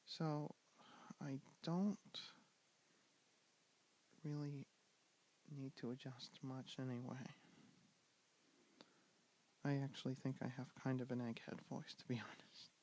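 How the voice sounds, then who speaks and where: A young man with a soft, gentle voice teaching a board game in a soft-spoken ASMR style, slipping in and out of whispers and pausing with ums. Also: medium pitch, fairly even intonation, poor audio. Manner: drifting in and out of whisper, hesitant with many ums. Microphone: handheld camera mic.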